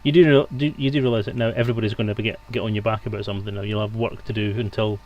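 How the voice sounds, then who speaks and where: A man's voice: fairly low pitch, fairly even intonation, rapid-fire, darkish tone, lo-fi sound. A man hosting a stream, chatting casually with his guests over some background noise.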